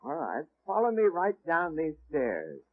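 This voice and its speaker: A man's voice: on the high side, wildly swooping pitch, unhurried, exaggerated voice. A man playing a patient, wry guardian angel in a 1940s radio comedy, explaining things in a matter-of-fact way. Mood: wry amusement. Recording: tinny, very noisy old radio recording.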